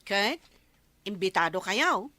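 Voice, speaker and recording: A woman's voice: medium pitch, big pitch swings, takes it slowly, pushed and bright, no echo, heavily acted. A woman acting a gossipy, teasing village neighbor in an Ilocano radio comedy drama, with an Ilocano accent. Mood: nosy.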